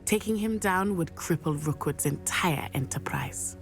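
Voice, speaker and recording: A woman's voice: lowish voice, unhurried, natural voice. An actress playing an earnest young female student in a video game, from Matabeleland, speaking formally and measuredly. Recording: game audio with faint music.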